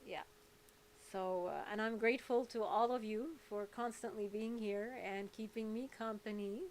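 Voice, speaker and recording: A woman born in England and raised in Saudi Arabia, hosting a casual livestream chat in a rambling, blunt, candid way. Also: on the high side. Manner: rambling, candid, blunt, jumping between topics. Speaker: livestream host and activist.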